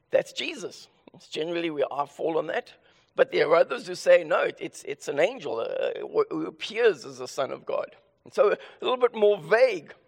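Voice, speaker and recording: A man with a South African accent preaching a sermon and reading scripture, his voice raspy and hoarse from illness, earnest with frequent restarts. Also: fairly high pitch, long reverb tail. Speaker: pastor.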